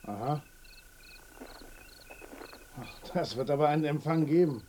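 Actor as a wry, fatalistic village guide. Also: male voice, fairly high pitch, warm tone, a little hiss or hum, natural voice. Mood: wry, evasive. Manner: wry, cryptic, drawn-out interjections. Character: sardonic, fatalistic village muleteer, guiding a stranger. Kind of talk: German radio play acting.

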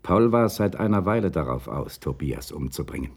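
An actor playing a weary, remorseful man confessing in a droning monotone in a German radio crime drama. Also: rumbling low, moderate pace, husky, heavily acted. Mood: dejected, resigned. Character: weary, remorseful man confessing, defending his old tomcat.

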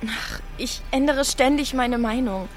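A woman's voice: high-pitched, unhurried, faint music behind. An actress playing an insecure, resentful teenage girl in a radio drama, hesitant, questioning and defensive. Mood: casual, unsure. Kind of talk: naturalistic radio drama acting in German.

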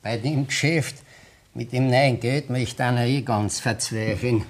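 An actor playing a fussy, plaintive Austrian head waiter in a 1960s radio play, formal and long-winded. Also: male voice, medium pitch, steady tone, unhurried, natural voice. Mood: aggrieved.

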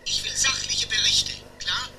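A woman's voice: a little high, unhurried, noisy background, close to an everyday voice. A woman in a radio play, playing a curt, sarcastic, demanding boss. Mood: impatient, sarcastic. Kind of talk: German radio play acting.

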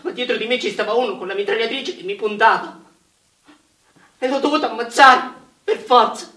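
A man's voice: very high-pitched, fairly quick, sharp tone. An actor playing a shy, innocent, guilt-ridden young infantryman in a war drama, speaking earnestly. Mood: anguished. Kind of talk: live stage or radio war drama acting. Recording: roomy, distant microphone, music behind.

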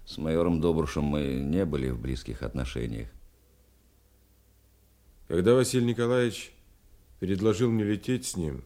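A man's voice: a bass voice, expressive, moderate pace, pauses often, warm tone. An actor playing a reserved male navigator who recalls the war in confiding first-person radio drama narration. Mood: reflective, subdued.

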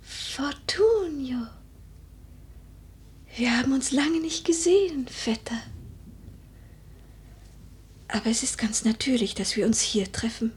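Husky, high-voiced noble widow. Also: female voice, animated, measured pace, full character voice. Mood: wistful, restrained. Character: wistful, proud young noble widow, imperious with servants. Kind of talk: German literary radio play acting.

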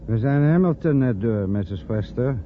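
A man's voice: mid-range voice, animated, moderate pace, narrow, tinny audio, natural voice. A man playing an obliging, matter-of-fact chauffeur talking to his employer in a naturalistic radio drama. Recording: thin, noisy old radio play recording.

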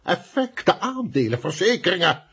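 Very raspy, blustering grumpy boss. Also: male voice, high voice, sing-song, normal speed, pushed and bright, exaggerated voice. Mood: exasperated. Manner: blustering, sarcastic, incredulous. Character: grumpy, exasperated male estate agency boss, Mister Mumford. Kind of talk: Dutch radio play comedy acting.

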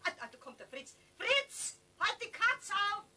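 Shrill Bavarian caretaker, calling from afar. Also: female voice, very animated, measured pace, pushed and bright, slight background noise, exaggerated voice. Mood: urgent. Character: agitated female Bavarian building caretaker, shrill, bossy. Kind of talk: 1970s German children's radio play. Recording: voice calling from far off.

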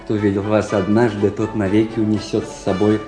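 An actor playing a vain, lovesick young officer in a Russian radio play, with a theatrical, high-flown manner. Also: male voice, level tone, fairly quick, lightly acted. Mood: ardent, pleading. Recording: roomy echo, faint music behind.

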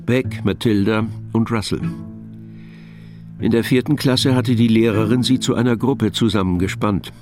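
A male narrator reads a radio drama script in a measured, matter-of-fact way. His voice is on the low side, with clear music behind him. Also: fairly even intonation.

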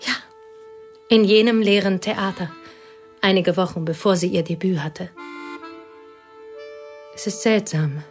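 An actress playing a plain, devoted woman who confesses intimately to a pianist in a radio play, her voice husky and often whispered. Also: mid-range voice, fairly even intonation, unhurried, long pauses, faint music behind, natural voice. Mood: intense. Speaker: confiding companion.

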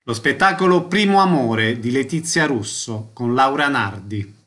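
A man's voice: medium pitch, animated, a little slow, over music. A man reading a scripted programme announcement as a radio announcer.

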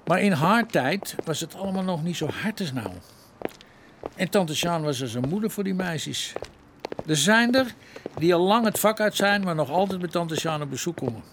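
A man's voice: sits high, animated, normal speed, noisy background. An actor playing a deferential, slightly bumbling policeman, a folksy bar regular, in a radio play. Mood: folksy, explaining. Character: male policeman sidekick, folksy, eager, sheepish bar regular. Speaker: police assistant. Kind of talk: Dutch radio play acting.

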